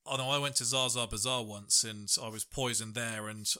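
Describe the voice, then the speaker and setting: Man, podcast host, chatty and jokey, bright forward tone. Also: medium pitch, steady tone, brisk. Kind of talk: casual chat, reading out news stories.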